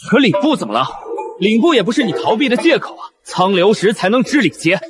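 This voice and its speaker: A young man playing a sarcastic, argumentative commoner who lectures his betters in an animated web drama. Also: high voice, quick pace. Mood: scolding, indignant.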